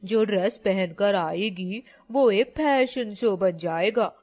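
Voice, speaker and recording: A woman's voice: medium pitch, slow pace, a little mellow, pitched below the performer's own voice. A woman voicing a snooty, sneering rich young woman in a moral story. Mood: mocking.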